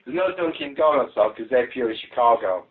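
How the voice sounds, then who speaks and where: A tinny telephone line carries a British man chatting casually with colleagues. His medium-pitched voice moves up and down in a lively way. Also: normal speed, background noise. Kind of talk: casual chat on a conference call. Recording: tinny phone conference line.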